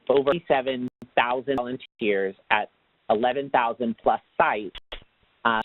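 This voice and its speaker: A man's voice: mid-range voice, a little hiss or hum. Man presenting over a tinny phone line. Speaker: webinar presenter, tax assistance program manager. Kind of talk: presentation read from slides. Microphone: phone or conference line.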